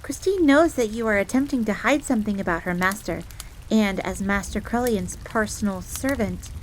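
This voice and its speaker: A woman's voice: medium pitch, slight background noise, natural voice, rough recording. A woman voicing a jealous, easily flustered young fox maid in a roleplay audio drama, speaking formally and referring to herself in the third person, with rain behind her. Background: rain on a surface.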